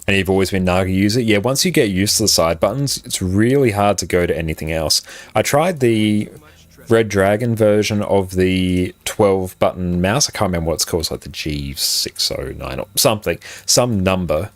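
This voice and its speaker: A man with a very low voice, chatting casually and rambling with many fillers as he answers viewers on a live game stream, recorded on a compressed condenser mic at a home setup. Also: normal speed, no echo. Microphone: condenser mic on an arm, compressed.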